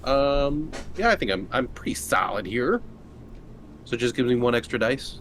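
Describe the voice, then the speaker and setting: A man's voice: medium pitch, animated, normal speed, fairly bright tone, a little hiss or hum. A man chatting casually as a player at a tabletop game.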